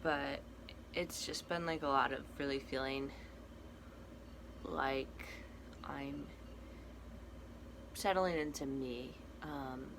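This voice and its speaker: A young man with a cracky, breaking voice, giving a casual personal update in a halting, soft-spoken way. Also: medium pitch, fairly even intonation, vocal fry. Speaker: vlogger. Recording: noticeable background noise.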